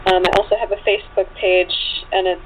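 A woman's voice: medium pitch, level tone, fast talker. A woman chatting casually as an interview guest, agreeable in manner. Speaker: interview guest, writer and actress. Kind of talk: casual chat. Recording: thin phone line with hiss.

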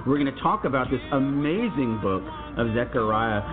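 A man's voice: medium pitch, animated, normal speed. A middle-aged man hosting a casual podcast, talking easily with fillers and restarts. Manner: easygoing, chatty with fillers and restarts. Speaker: podcast host. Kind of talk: casual co-hosted podcast chat. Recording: tinny phone-like sound with faint music.

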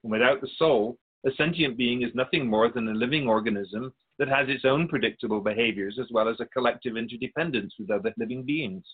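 Man playing a husky, patient all-knowing spirit. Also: medium pitch, brisk, few pauses, phone-quality sound, natural voice. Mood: patient, explanatory. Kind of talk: scripted radio drama dialogue.

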